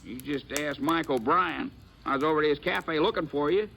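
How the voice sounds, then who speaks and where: High, creaky, testy frontier assayer. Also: male voice, normal speed. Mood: defensive, exasperated. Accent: American. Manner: exasperated, plain western talk. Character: testy, defensive frontier assay office man. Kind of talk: old-time radio western acting. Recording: noisy vintage radio recording.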